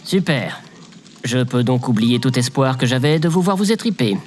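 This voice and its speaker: A man's voice: mid-range voice, animated, normal speed, slight background noise, lightly acted. Guy playing dry bitter sarcastic space station worker, audio comedy, bit of background ambience. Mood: sardonic. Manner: dry, sardonic, weary. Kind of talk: scripted audio comedy fiction acting.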